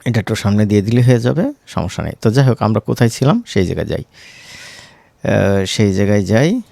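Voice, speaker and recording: A man's voice: lowish voice, big pitch swings, brisk, vocal fry, warm tone, no echo. A man with a Bangladeshi Bengali accent giving an informal tutorial, conversational and often checking in with short question tags. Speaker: tutorial instructor. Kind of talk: informal screen-recorded software tutorial.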